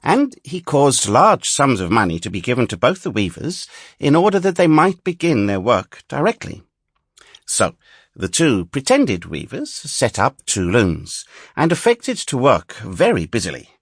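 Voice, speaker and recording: A male audiobook narrator reads a fairy tale with theatrical expression. His medium-pitched voice is husky and swoops widely, in a dry, close-sounding recording. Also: huge pitch swings, measured pace, dry recording.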